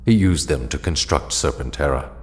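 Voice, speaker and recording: Man playing a very deep, solemn ancient warrior ally. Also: lively intonation, in a large hall. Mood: solemn, measured. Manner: formal, measured, deliberate. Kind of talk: audio drama acting.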